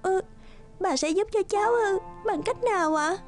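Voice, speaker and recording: A woman voicing a sweet, meek young maiden in an animated fairy tale, plaintive and polite. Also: very high voice, moderate pace. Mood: timid, grateful. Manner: plaintive, polite, soft-hearted. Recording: music under the voice.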